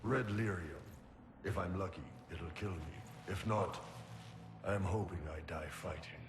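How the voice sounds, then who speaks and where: An actor playing a weary, fatalistic male warrior in a video game, talking with wry, deadpan gallows humour. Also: sits low, unhurried, noisy background. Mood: grim resignation. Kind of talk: video game cutscene acting.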